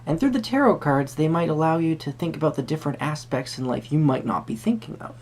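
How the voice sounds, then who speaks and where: A performer playing a quirky, friendly tarot reader at a Renaissance fair, speaking to the viewer in halting, theatrical phrases. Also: medium pitch, expressive, a little mellow, slight background noise, natural voice. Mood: whimsical, musing. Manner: hesitant, theatrical, halting phrasing with sudden emphasis. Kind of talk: improvised first-person roleplay addressed to the viewer.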